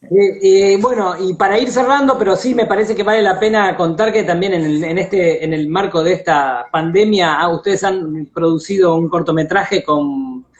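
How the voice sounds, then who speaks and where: Argentine man hosting, slightly high, muffled livestream. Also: normal speed, few pauses, a little hiss or hum. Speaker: interview host. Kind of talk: casual live chat. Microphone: internet live video call. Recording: dull lo-fi livestream audio.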